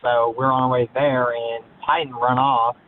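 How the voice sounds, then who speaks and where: A man calling on a thin phone line, talking in a halting, hesitant, evasive way. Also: medium pitch, little pitch movement, a little hiss or hum. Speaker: caller.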